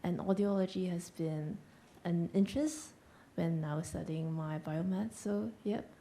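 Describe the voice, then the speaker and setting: A woman's voice: on the low side, measured pace, mellow, a little hiss or hum. A woman from Malaysia, casually introducing herself as a new student.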